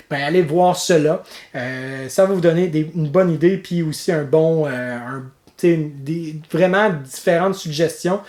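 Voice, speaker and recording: A man's voice: a little high, a little mellow, reverberant. A man with a Québécois accent giving a casual, chatty monthly vlog update as host of a board game channel, with many fillers and restarts. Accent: Québécois French.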